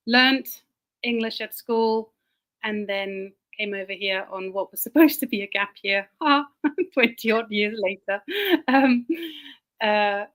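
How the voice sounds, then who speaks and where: A woman's voice: a little high, fairly bright tone. Chatty German-born woman on a call. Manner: chatty, laughs often, repeats words while thinking. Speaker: guest speaker, teacher. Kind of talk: casual talk on a podcast.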